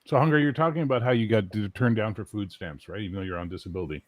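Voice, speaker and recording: A man with a low voice talking in a casual podcast chat, ranting and swearing with strong opinions. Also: very fast, no echo. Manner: animated, swearing, opinionated rants.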